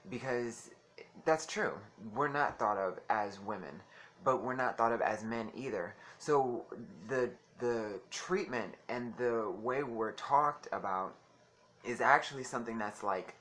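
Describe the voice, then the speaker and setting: A soft-voiced man vlogging in a chatty, giggly way, calling listeners honey. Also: medium pitch, a little slow, a little hiss or hum. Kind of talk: casual talk to camera.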